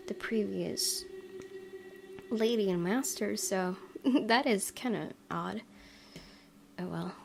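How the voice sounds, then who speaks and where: A woman's voice: medium pitch, darkish tone, slight background noise. A woman streamer reading a visual novel aloud and adding casual, musing commentary. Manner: musing, hesitant asides. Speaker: let's play streamer. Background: faint game music.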